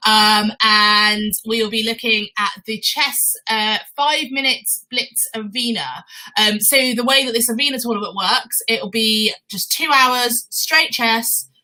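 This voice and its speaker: A woman with a bright, fairly high voice hosts live chess commentary. She talks casually and explains as she goes, often checking in with questions. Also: fairly even intonation, measured pace, poor audio.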